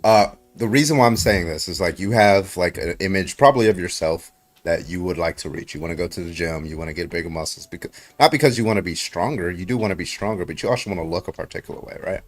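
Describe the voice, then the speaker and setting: A man's voice: deep voice, fast. A man in a heated online debate, pressing his opponent with repeated questions and rhetorical tags.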